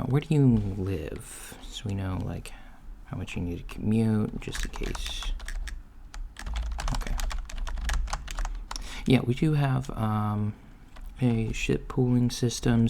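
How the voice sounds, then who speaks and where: A man playing a laid-back alien job interviewer in a soft-spoken ASMR roleplay, hesitant and matter-of-fact, with keyboard typing behind him. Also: lowish voice, gravelly. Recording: faint noise, lo-fi ASMR, keyboard sounds. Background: computer keyboard typing and clicks.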